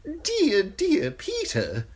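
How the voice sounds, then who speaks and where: A woman voicing a sly, simpering male eunuch courtier with a high, tittering voice, in an audiobook. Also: huge pitch swings, extremely slow, a little mellow, heavily acted. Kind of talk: audiobook character voice.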